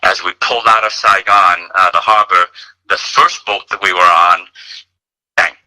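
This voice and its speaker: A man in his fifties from Vietnam tells his story as a radio guest. His voice sits low but rings very bright. He talks in an earnest, easy way, with plenty of "uh"s along the way. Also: steady tone, moderate pace, rough recording. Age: 50s. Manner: earnest, conversational, many fillers. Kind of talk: personal storytelling on talk radio. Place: radio studio.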